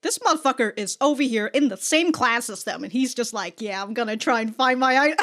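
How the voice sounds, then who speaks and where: A woman's voice: a little high, animated, brisk, fairly bright tone, faint music behind. A woman giving casual, slangy, sweary and giggly reaction commentary as host of a reaction video.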